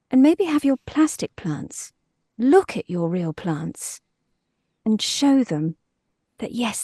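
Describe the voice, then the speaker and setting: A gentle, coaxing gardener, encourages softly. The voice is highish, mellow and breathy, rising and falling in a game performance. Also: female voice, dry recording, clean studio sound, exaggerated voice. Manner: soft, coaxing.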